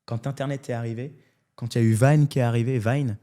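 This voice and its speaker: French man in his thirties, comedian guest, candid, animated storyteller, mid-pitched voice, casual chat. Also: fast talker. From France. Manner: animated and candid, often adds "tu vois".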